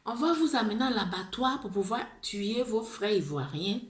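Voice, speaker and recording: A woman's voice: medium pitch, animated, normal speed, roomy sound. A woman with an Ivorian French accent gives an impassioned monologue, pleading, repeating herself and restarting words. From Ivory Coast. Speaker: video blogger.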